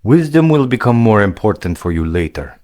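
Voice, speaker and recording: A man voicing a big, burly Viking warrior who gives steady, plain advice in a storybook reading. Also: lowish voice, moderate pace, voice pushed up. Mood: helpful.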